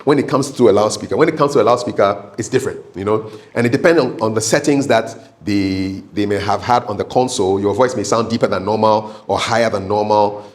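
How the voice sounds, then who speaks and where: A man's voice: lowish voice, fairly quick, few pauses. Emphatic male speaking coach. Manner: emphatic, repetitive, checks in with "okay?"; conversational, instructive. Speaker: public speaking coach and trainer. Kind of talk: live training talk with practical tips.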